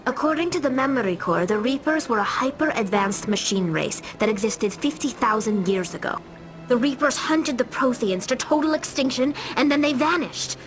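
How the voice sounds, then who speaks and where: A woman's voice: fairly high pitch, moderate pace, close to an everyday voice, low-quality recording. An actress playing a young alien pilgrim in a video game, earnestly explaining what she has learned. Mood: grave, explaining. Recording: loud music behind dialogue. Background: music.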